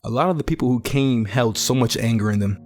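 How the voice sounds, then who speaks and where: A man's voice: medium pitch, quick pace, darkish tone, close to an everyday voice. A man playing a weary, reflective young betting clerk in a post-apocalyptic audio drama, speaking in a subdued, polite way. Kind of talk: audio drama narration and dialogue. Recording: music under the voice. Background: music.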